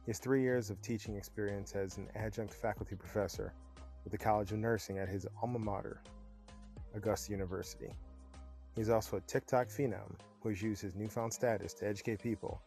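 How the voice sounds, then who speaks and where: A man's voice: fairly low pitch, darkish tone. A man hosting a podcast, moving from a punchy scripted intro into loose, chatty interview questions. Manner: upbeat announcer-style delivery, loose and chatty in conversation. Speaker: podcast host. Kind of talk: scripted intro then casual interview chat. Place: Chicago-area podcast setup.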